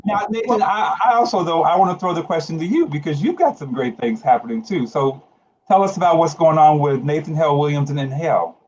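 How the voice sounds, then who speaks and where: A man's voice: fairly high pitch, brisk, darkish tone. A man chatting casually as a panel guest, heard through a muffled, noisy call. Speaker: guest, project partner.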